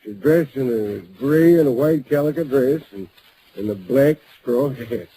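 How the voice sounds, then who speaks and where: An actor playing a folksy old male storyteller of the desert in a 1940s radio drama, drawling and unhurried. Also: mid-range voice, lively intonation, dark tone, slightly rough, low-quality recording. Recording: old radio broadcast recording.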